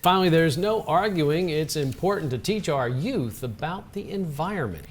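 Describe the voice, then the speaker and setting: Higher-pitched man presenting news. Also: lively intonation, normal speed, breathy edge. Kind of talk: scripted news introduction.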